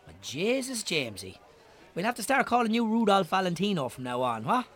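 Teasing Irish pal, slightly high-pitched, animated delivery, jovial banter, radio drama. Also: male voice, a little fast, heavily acted. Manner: bantering, colloquial. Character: Terry, teasing old pal of a farmer, jovial pub regular. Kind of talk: naturalistic radio drama acting.

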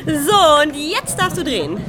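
Lively high-voiced fairground hostess. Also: female voice, measured pace, over music. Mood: bubbly, encouraging. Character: friendly female festival fortune-wheel hostess and newcomer. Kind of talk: German audio drama acting. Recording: clear crowd noise behind.

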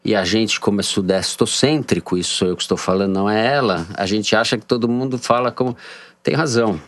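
A man's voice: on the low side, quick pace, a bit bright. A man with a São Paulo accent hosting a political podcast, bantering with co-hosts and reading listener messages in a jokey way. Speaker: podcast host. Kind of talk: casual political podcast chat.

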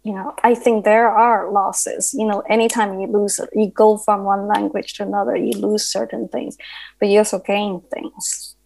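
Woman author, native Chinese speaker, creaky voice, reflective and hesitant on a festival panel. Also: medium pitch, flat delivery, a little mellow, low-quality recording. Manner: thoughtful, halting with frequent restarts and fillers. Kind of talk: panel discussion.

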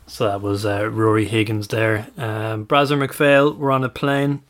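Irish male podcast host, casual and chatty, quick to laugh, self-correcting. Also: lowish voice, flat delivery. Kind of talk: casual chat.

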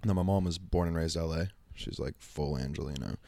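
A man, telling stories in a laid-back, halting way as an interview guest. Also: rumbling low, mellow, no room sound. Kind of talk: casual interview chat.

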